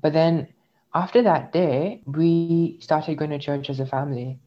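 A man's voice: fairly high pitch, measured pace. A young man from India, raised in the UAE, speaks as an interview guest. He tells his story in a thoughtful, reflective way. The recording sounds slightly dull and lo-fi. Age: young adult. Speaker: interview guest, graduate student. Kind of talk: personal testimony in conversation.

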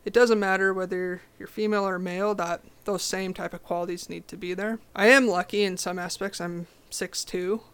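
A young woman with a low voice, talking as a podcast guest in a direct, confident, casual way. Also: moderate pace, hardly any pauses, clean tone. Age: young adult. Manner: confident, direct, animated storytelling. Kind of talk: casual interview chat.

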